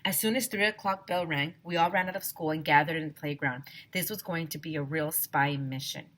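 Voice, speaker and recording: A woman teacher reading a children's story aloud, expressively, slowing down for emphasis. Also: low-pitched, fairly bright tone.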